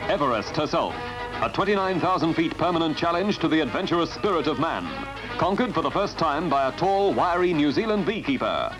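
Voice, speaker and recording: A man reads scripted newsreel narration in the formal manner of a 1950s announcer. His voice sits fairly high and moves with dramatic, very lively intonation. It comes from a vintage soundtrack, with clear music behind him. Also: fairly quick, rough recording.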